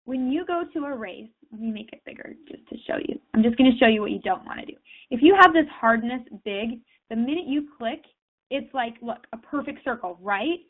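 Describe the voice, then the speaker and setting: A woman hosting a casual software tutorial, chatting straight to her viewers with lots of fillers while fighting a cold. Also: fairly high pitch, lively intonation, fairly quick. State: has a cold, sniffling. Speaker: tutorial host. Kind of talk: casual screen-share tutorial. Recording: tinny phone-like line.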